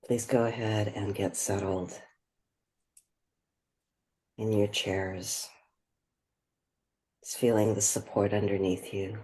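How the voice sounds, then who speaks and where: A woman leading a guided meditation, speaking gently and without hurry, leaving long pauses between phrases. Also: rumbling low, flat delivery. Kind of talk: guided meditation, slow and spoken softly.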